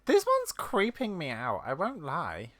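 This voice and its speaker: A woman's voice: on the low side, huge pitch swings, measured pace, a bit bright. A woman giving casual, rambling gameplay reactions in an informal streamer style. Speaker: game streamer.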